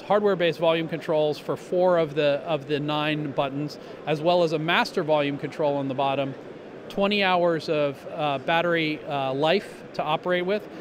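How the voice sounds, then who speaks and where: Middle-aged guy at a trade show booth, a bit high voice, lots of ums, noisy hall. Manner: explanatory, upbeat, many fillers and restarts. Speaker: company representative. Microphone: handheld interviewer mic.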